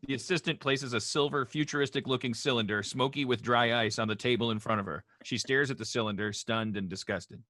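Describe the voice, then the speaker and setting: A man's voice: mid-range voice, a little fast, hardly any pauses, bright, forceful tone. A man reading stage directions as scripted narration at a comedy table read.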